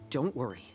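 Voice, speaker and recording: A man's voice: medium pitch, a little mellow. An actor playing a courteous, dryly exasperated male military healer in a video game. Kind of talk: game voice acting.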